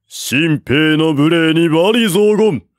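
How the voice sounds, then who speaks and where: A man voicing a furious, hot-headed male general who shouts in rage, in a storybook reading. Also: a little high, slow pace, no room sound, studio quality, pitched above the performer's own voice. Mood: enraged.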